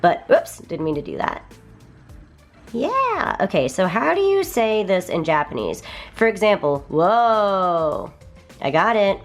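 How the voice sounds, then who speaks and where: A young woman talks through a language app in a bubbly, enthusiastic vlog style. Her pitch jumps around animatedly as she chats. Soft music plays faintly underneath. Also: very animated, takes it slowly. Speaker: vlogger reviewing a language app. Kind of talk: casual sponsored app walkthrough.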